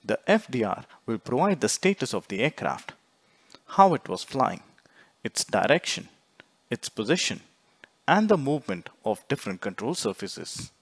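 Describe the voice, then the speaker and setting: A man reading scripted instructional narration in a measured, explanatory way, phrase by phrase. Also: medium pitch, big pitch swings, husky, dry recording. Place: close, dry voice-over recording.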